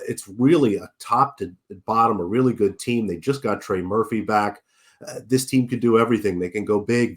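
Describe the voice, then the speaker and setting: A man hosting a basketball betting show, sounding nasal as he gets over a cold, chatting casually with plenty of fillers. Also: lowish voice. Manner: chatty, many fillers and restarts. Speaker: sports betting show host. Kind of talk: casual betting analysis video.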